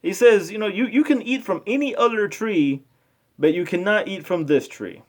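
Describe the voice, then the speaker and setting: A man giving informal spoken commentary as a video host, halting, repeating himself and arguing his points. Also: high-pitched. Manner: halting, repetitive, argumentative.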